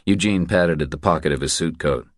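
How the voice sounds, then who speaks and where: A man's voice: a bass voice, brisk, dry recording, clean studio sound. A man reading scripted thriller narration as an audiobook narrator.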